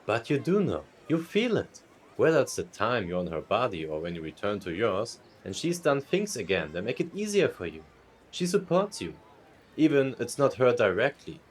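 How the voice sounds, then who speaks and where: A man's voice: mid-range voice, very animated, normal speed, breathy edge. A man playing a kindly, teasing apparition of a dead friend in an audio drama, with a playful, musing manner. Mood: reassuring.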